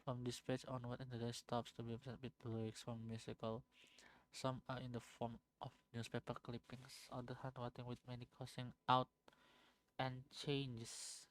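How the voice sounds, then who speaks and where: Halting man reading aloud. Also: mid-range voice, little pitch movement, low-quality recording. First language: Indonesian. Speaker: game streamer. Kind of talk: reading game text aloud on a live stream.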